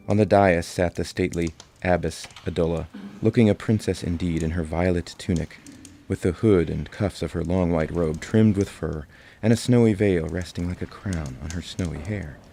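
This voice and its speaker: A man narrating a classic tale in a dramatic storybook style, with a gravelly voice. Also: low-pitched, fairly even intonation, moderate pace, dark tone. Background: music.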